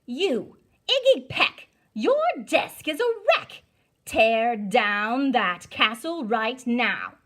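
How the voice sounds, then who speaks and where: A woman's voice: on the high side, very animated, drawn-out words, a bit bright, heavily acted. A woman voicing a stern, dramatic female schoolteacher from a storybook, scolding and emphatic. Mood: angry scolding. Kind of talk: storybook read-aloud character voice.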